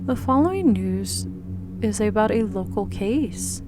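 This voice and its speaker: A woman's voice: mid-range voice, lively intonation, measured pace, music in the background. A woman reading the dialogue and narration of a visual novel aloud as she plays through it. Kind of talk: visual novel read-aloud let's play.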